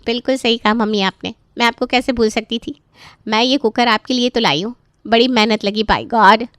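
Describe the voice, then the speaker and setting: A woman's voice: fairly high pitch, moderate pace, a bit bright, no echo, studio quality, lightly acted. A woman voicing a chirpy, cheeky grown-up daughter in a story, with a breezy, persuasive manner. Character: chirpy, cheeky adult daughter and sister-in-law.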